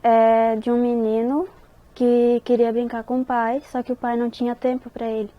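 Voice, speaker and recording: A woman's voice: fairly high pitch, little pitch movement, lo-fi sound. A woman with a southern Brazilian accent who reads a short story aloud and then retells it in her own words. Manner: slow careful reading, quicker loose retelling. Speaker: interviewee.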